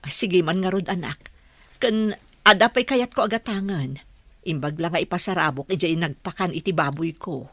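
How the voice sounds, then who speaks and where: A woman's voice: fairly low pitch, normal speed, fairly bright tone, no room sound. A woman playing a humble village mother who raises pigs, in an Ilocano radio drama. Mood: homely, fond.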